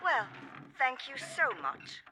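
A woman playing a brisk, haughty character, exasperated and curt with her former husband. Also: high voice, huge pitch swings, sharp tone, slight background noise, full character voice.

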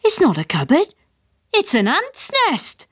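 A woman's voice: sits high, huge pitch swings, unhurried, voice pushed up, exaggerated voice. A woman voicing a panicky middle-aged father, frantic and shrieking in alarm.

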